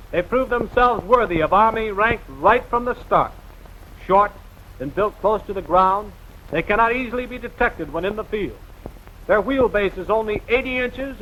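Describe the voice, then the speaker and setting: Male American newsreel announcer, 1940s style: high, forceful voice, emphatic and rousing, vintage soundtrack sound. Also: slight background noise, low-quality recording. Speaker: newsreel narrator. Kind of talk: 1940s newsreel narration, scripted.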